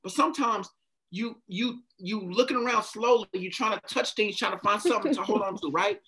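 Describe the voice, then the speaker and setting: A man's voice: high-pitched, a little fast, sharp tone, poor audio. A man giving a passionate motivational talk, stopping often to ask his listeners questions. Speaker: speaker leading a group talk.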